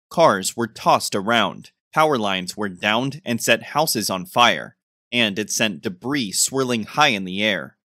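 A man's voice: on the low side, pushed and bright, no echo, clean studio sound. A man reading scripted news narration as a news narrator.

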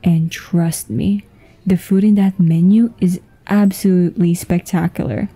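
A woman playing a flirtatious, easygoing businesswoman on a date, in a casual, intimate ASMR roleplay with a soft voice. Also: fairly low pitch, fairly even intonation, husky. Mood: relaxed, chatty. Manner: unhurried, giggly, casual. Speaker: audio roleplay voice actor. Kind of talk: intimate ASMR roleplay acting, one-sided conversation. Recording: music behind the voice.

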